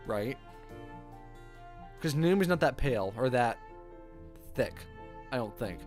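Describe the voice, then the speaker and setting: A man streaming a visual novel, reading its narration aloud and adding casual commentary. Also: medium pitch. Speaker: game streamer. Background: game music.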